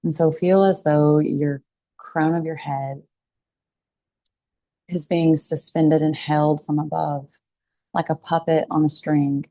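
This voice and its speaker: A woman teaching a guided meditation in a calm, soothing, unhurried way with pauses between phrases. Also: deep voice, dry recording.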